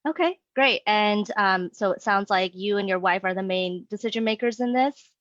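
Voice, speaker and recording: A woman's voice: medium pitch, moderate pace, fairly bright tone, no echo. A young woman interviewing for a sales job, playing a real estate agent in an upbeat, friendly way with many okays. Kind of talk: mock sales interview role play. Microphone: video call. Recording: dull lo-fi sound, dry.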